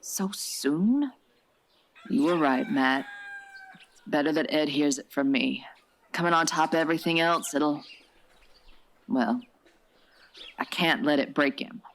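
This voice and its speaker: An actress playing a hospitable, folksy frontier farm wife, plainspoken and steady, in a radio western parody. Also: female voice, deep voice, slow, pauses often, a little hiss or hum. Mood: dismayed, resigned.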